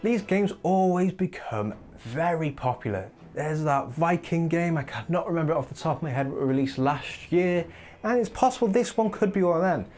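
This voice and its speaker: A man talks through a countdown of upcoming games in a loose, opinionated way, drifting into asides. His pitch sits fairly high and bounces around a lot, and his voice sounds a little worn. Faint music plays behind him. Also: normal speed. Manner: opinionated, rambling, lively asides. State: voice wearing thin. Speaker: gaming video host. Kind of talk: casual countdown commentary.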